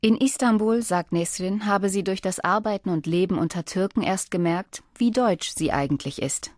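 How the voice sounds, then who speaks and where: A woman reading scripted documentary narration in a measured, even way. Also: medium pitch, no echo, clean studio sound.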